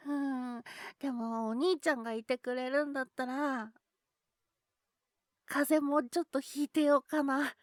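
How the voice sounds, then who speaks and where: Woman voicing a very high-voiced, coughing little boy. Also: a little slow, long pauses, no echo, studio quality. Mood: clingy, wistful. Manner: pleading, sulky childish talk with frequent coughing. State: coughing, sick with a cold. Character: cheeky little boy with a cold, clinging to his older brother. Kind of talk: Japanese situation voice drama.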